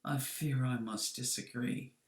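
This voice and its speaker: A woman's voice: very deep voice, steady tone, roomy sound. Woman reading as a grave old crime boss. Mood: scornful. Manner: formal, deliberate, weighty. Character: elderly Japanese crime boss, courteous, grave, measured.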